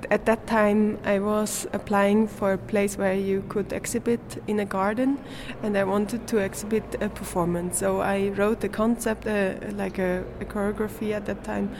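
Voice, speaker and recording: A woman's voice: mid-range voice, level tone, moderate pace, few pauses, background noise. A young woman, answering interview questions in English as a performance artist, searching for words with many fillers. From Switzerland.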